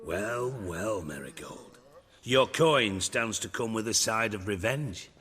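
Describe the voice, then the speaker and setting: A sarcastic, urbane schemer in a fantasy game. The voice is low, sharp and somewhat husky. He wraps crude remarks in florid, mock-polite phrases, with long reverb around him. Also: expressive, slow, a little hiss or hum. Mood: sarcastic, skeptical. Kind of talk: video game acting.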